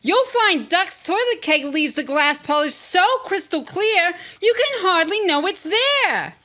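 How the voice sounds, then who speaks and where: An actress playing a perky pitchwoman in an old-time style radio commercial, emphatic and instructive. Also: expressive, unhurried, bright, forceful tone, heavily acted. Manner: emphatic, instructive sales delivery.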